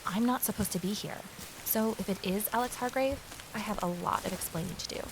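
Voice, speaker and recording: A woman's voice: mid-range voice, a little fast, creaky, natural voice. Audio drama lead: female actor as earnest, inquisitive ex-reporter sleuth, narrating in first person, faint rainy hiss. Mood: tense, intrigued. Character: eager, nosy female ex-journalist amateur sleuth.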